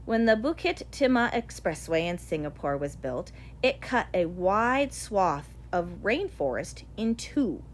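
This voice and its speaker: A woman reading a nonfiction children's picture book aloud in an expressive, deliberate storytelling way. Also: fairly low pitch, a little hiss or hum. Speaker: audiobook reader.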